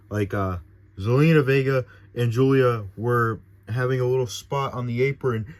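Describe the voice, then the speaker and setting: A man's voice: on the low side, no room sound. A young man recapping a wrestling event in a casual, rambling way, full of fillers and restarts. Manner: excitable, rambling, filler-heavy. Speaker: wrestling show reviewer.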